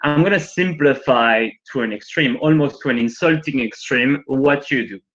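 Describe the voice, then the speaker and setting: A man who is not a native English speaker leads a live training session from his home over a video call. He talks informally and often tacks on a quick "right?" as he goes. His voice sits at a middling pitch, and the call audio sounds somewhat muffled and lo-fi. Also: fairly bright tone. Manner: chatty, frequently adds "right?". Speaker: workshop presenter. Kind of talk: live online training talk with demos. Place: working from home.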